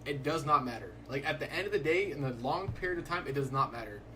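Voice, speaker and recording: A man's voice: fairly high pitch, lively intonation, fast talker, pushed and bright, slight background noise, low-quality recording. A young man hosting a live stream, chatting casually in a laid-back, rambling way and filling his talk with "like". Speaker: livestreaming day trader.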